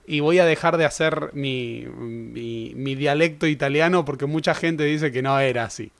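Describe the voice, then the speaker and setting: A man's voice: mid-range voice, normal speed, creaky, a bit bright. A young man giving a casual, conversational history lecture. He corrects himself often and adds joking asides. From Argentina. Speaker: history teacher.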